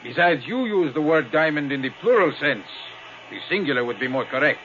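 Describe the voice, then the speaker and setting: A man's voice: fairly high pitch, lively intonation, a bit bright. An actor playing a bitter, guarded pilot in his thirties, speaking curtly in a 1950s radio drama. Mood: dry, matter-of-fact. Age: 30s. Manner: curt, brooding, deliberate. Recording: dull, lo-fi 1950s radio recording.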